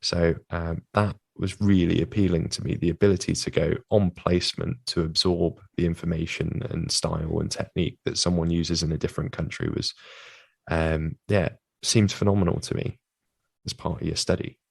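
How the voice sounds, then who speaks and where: A young British man, a physiotherapist, answering interview questions in a measured way, with many fillers and restarts. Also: low voice, flat delivery, creaky, no echo, clean studio sound. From United Kingdom. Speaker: guest, physiotherapist.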